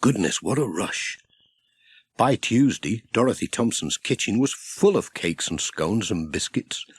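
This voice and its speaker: Animated male children's storyteller. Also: on the low side, unhurried, slightly rough, dry recording. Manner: expressive storyteller. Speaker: audiobook narrator. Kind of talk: scripted children's story narration.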